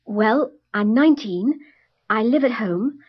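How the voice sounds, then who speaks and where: A young secretary at an interview, with a fairly high voice and lively pitch. She phrases each line clearly and carefully. The dull, lo-fi sound is that of an old course tape. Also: takes it slowly, full character voice. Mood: answering. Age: young adult. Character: nineteen-year-old female secretary applying for a job. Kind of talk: scripted course dialogue.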